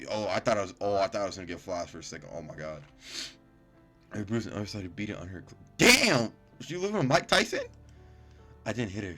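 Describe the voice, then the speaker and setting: A man with a very low voice hosts a casual gaming video. He reads on-screen story text aloud, tripping over words and cutting in with blunt, incredulous, sweary asides. Faint game music plays behind him. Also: a bit bright. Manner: stumbles over read-out words, breaks off into profane, incredulous reactions. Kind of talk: casual let's play, reading game text aloud with commentary.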